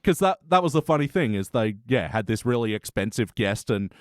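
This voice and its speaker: A man's voice: medium pitch, sing-song, a little fast, no room sound, studio quality. A man chatting casually as a podcast host, rambling and informal in his reviewing. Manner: rambling, informal, swears freely.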